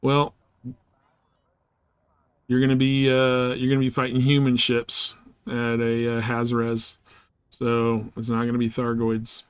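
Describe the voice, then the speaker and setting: A man's voice: medium pitch, monotone, takes it slowly, long pauses, smooth, no echo, clean studio sound. A man chatting casually and informally as he hosts a live game stream, rambling in slangy language.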